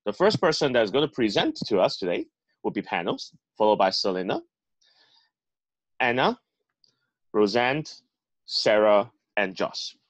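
A man's voice: fairly low pitch, sing-song, a little slow, pauses often, no room sound, low-quality recording. A man hosting an online club meeting over a video call, introducing panelists in an upbeat emcee manner. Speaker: host.

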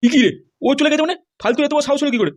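A man's voice: very high voice, animated, racing. A man voicing a furious, foul-mouthed politician husband in a comedy cartoon, scolding and accusing. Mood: outraged.

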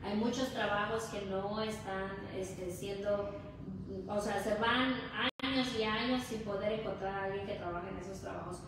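A woman's voice: mid-range voice, fairly even intonation, normal speed, far from the mic. A middle-aged woman from Mexico with a Mexican Spanish accent, explaining conversationally as an interview guest. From Hidalgo, Mexico. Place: indoor lounge nook with brick wall and concrete floor. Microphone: distant camera or phone. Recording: echoey, noisy room, off-mic.

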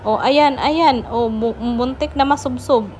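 A woman's voice: high voice, unhurried, a little mellow, faint music behind. A Filipino woman narrating a casual vlog, chattily addressing her viewers. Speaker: vlogger.

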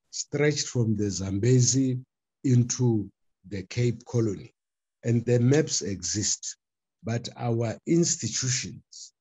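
An older man presenting a formal paper on a video call from a book-lined study, speaking deliberately with frequent pauses and fillers. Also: mid-range voice, warm tone, slightly rough. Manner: deliberate, hesitant delivery with frequent uh fillers and restarts. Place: study with bookshelves, indoors. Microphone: laptop webcam on a video call.

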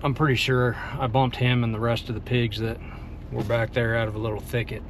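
A man's voice: mid-range voice, background noise. Laid-back Texan man vlogging a hunt. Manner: relaxed, plain-spoken, drawn-out fillers. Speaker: bowhunter filming himself. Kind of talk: casual self-filmed hunting vlog. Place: pickup truck cab and outdoors on a farm. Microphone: phone camera at arm's length.

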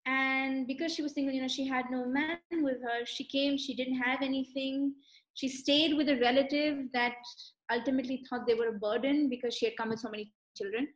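Woman, young author, high voice, talks on a video call from a room that echoes a bit. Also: steady tone, fairly quick. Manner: warm storyteller, lingering on striking details. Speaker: author being interviewed. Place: room with bookshelves, indoors.

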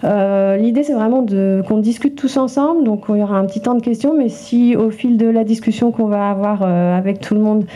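A woman's voice: medium pitch, brisk, hardly any pauses, mellow. A woman hosting a library event, introducing the speakers in an informal, hesitant way. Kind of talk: introducing a public talk.

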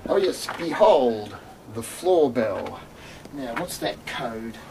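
A man's voice: medium pitch, sing-song, slow, creaky, noisy background. A middle-aged man explaining his work in a casual, rambling, wry way. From Australia. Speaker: musician and inventor. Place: small cluttered home studio indoors.

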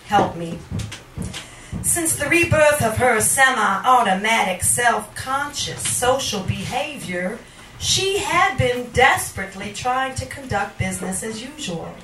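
A middle-aged woman reading poetry live in a theatrical, deliberate manner into a handheld microphone in a small bar room. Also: fairly high pitch, expressive, fairly bright tone, soft background music. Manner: theatrical, slow and deliberate when reciting. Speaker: poet, featured reader. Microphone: handheld microphone, captured by a camera in the room. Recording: roomy, noisy bar recording from a distance.

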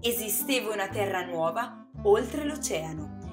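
A woman narrating a scripted children's story in an expressive, dramatic storytelling manner. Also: mid-range voice, measured pace. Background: music.

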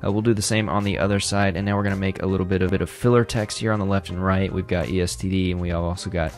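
Chatty low-voiced man teaching design. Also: rapid-fire. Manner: relaxed and chatty, light laughs, many fillers. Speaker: design tutorial host. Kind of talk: casual screen-recorded tutorial. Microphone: studio microphone close up.

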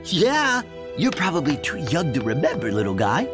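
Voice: medium pitch, huge pitch swings, moderate pace. An actor voicing a goofy, teasing, laid-back cartoon pal with a playful drawl. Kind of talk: animated cartoon voice acting. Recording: loud music behind the voice.

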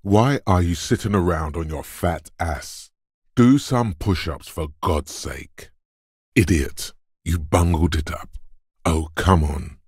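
An actor voicing a gruff, macho inner voice of physical strength for a video game, like a drill coach, blunt, taunting and commanding, with a gravelly, husky tone. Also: male voice, deep voice, slow pace, a little mellow, no room sound, studio quality, full character voice. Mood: scornful, urging.

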